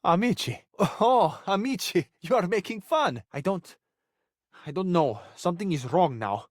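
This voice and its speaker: A man's voice: high voice, lively intonation, measured pace, dry recording, studio quality. A man playing a passionate, sensitive living cabinet in a video game, with an Italian accent and florid, theatrical, romantic delivery. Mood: hurt, confused. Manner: florid, dramatic, wounded romantic phrasing.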